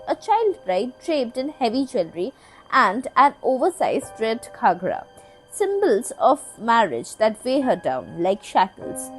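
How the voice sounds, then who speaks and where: A woman with a fairly high voice and very lively intonation delivers a scripted video essay. Her phrasing is literary and measured, with deliberate dramatic pauses. Music plays under the voice-over. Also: low-quality recording. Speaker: video essay narrator, podcast host.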